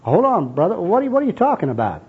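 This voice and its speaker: A man's voice: fairly high pitch, animated, fairly quick. Man playing a baffled, wary skid row bum. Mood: wary, irritated. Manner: gruff slangy street talk, puzzled. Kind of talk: comic skit acted out in a sermon. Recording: dull, muffled lo-fi recording.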